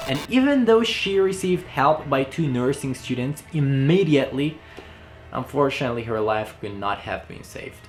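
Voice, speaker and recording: A man's voice: on the high side, a bit bright. A young man with a Hungarian accent, guest-hosting with playful, sarcastic asides. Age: young adult. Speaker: guest host. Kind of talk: joking commentary.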